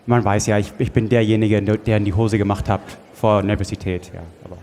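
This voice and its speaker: A man playing a nervous, awkward loner who speaks haltingly in improvised comedy. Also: lowish voice, steady tone, mellow, a little hiss or hum, lightly acted. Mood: nervous, embarrassed. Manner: hesitant, stammering. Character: nervous, awkward loner, former juggling contestant. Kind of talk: improvised comedy audio play. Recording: reverberant hall.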